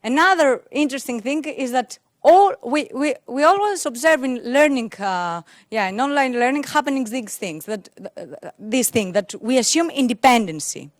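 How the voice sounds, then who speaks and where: Young woman, Greek native, halting tech talk. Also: high-pitched, lively intonation, no echo, studio quality. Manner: hesitant, many fillers and restarts. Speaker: conference speaker. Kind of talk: technical conference talk. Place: conference room, indoors. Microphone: handheld mic.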